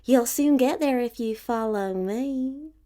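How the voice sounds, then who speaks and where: Woman voicing a slow, coaxing, sly male fox. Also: fairly high pitch, dark tone, voice pushed up. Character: sly, smooth-talking male fox luring prey. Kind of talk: fairy tale storytelling.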